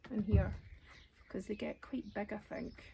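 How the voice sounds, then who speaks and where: A woman, her voice raspy today, chatting casually as she works in her outdoor garden with birdsong around her. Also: medium pitch, unhurried, warm tone, a little hiss or hum. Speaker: gardening vlogger. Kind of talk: casual chat while gardening.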